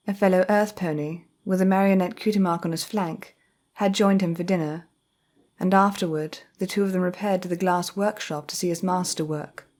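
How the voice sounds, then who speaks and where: A woman storyteller reading scripted fiction narration in a measured, careful way. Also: on the low side, a little mellow.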